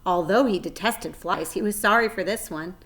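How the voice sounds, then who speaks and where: A woman's voice: medium pitch, moderate pace, a bit bright. A woman reading a children's story aloud like a teacher, expressively, addressing her young listeners.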